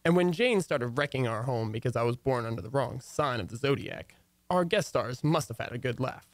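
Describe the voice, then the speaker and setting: A man's voice: mid-range voice, animated, brisk, no echo, natural voice. A man playing a dry, wry radio-comedy husband. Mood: wry narration. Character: wry, long-suffering husband and office worker, narrating his story. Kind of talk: old-time radio comedy acting.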